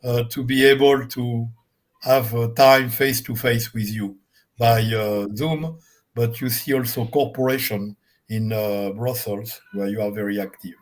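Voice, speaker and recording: A man from France hosting a live online panel, speaking slowly with many fillers. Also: medium pitch, steady tone. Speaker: host. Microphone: video call.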